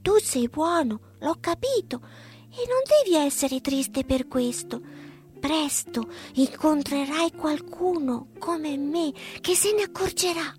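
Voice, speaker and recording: A woman voicing a tiny, wise, kind female firefly who speaks softly and reassuringly. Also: high voice, lively intonation, slow, faint music behind, voice pushed up. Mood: gentle.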